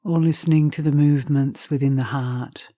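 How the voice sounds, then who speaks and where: A woman leading a guided meditation, speaking gently and softly in unhurried phrases with long pauses, with some breathiness. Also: low voice, fairly even intonation, no echo, clean studio sound. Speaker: meditation teacher.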